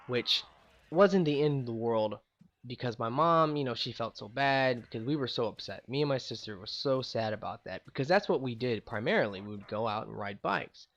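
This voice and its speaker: Young male storyteller, loose rambling delivery, loud then mumbly, mid-pitched. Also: lo-fi sound. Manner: rambling, frequent "like" and "you know", swings between loud bursts and trailing off. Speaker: gaming channel host. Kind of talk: casual storytelling.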